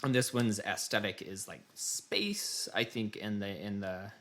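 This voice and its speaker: A man's voice: fairly low pitch, sing-song, measured pace, a bit bright. A man co-hosting a gaming podcast, chatting casually in a joking, rambling way. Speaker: podcast host.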